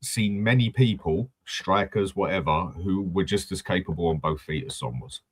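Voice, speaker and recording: A man's voice: low voice, normal speed, breathy edge. A man hosting a casual football fan chat, blunt and swearing freely. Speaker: host of a football fan channel.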